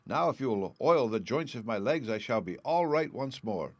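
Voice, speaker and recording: A man voicing a polite, grateful male tin woodsman in a storybook, in a high, strained, slow and sad tone. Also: lively intonation.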